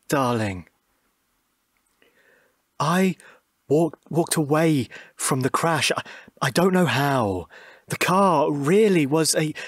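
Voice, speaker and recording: A gentle, patient husband in a radio drama, anxious and halting. He speaks very slowly in a fairly high voice, recorded close and dry. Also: husky, no echo, exaggerated voice. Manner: hesitant, halting.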